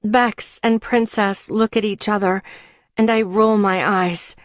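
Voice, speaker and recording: A woman's voice: mid-range voice, steady tone, takes it slowly, no room sound. A woman reading scripted first-person fiction as an audiobook narrator.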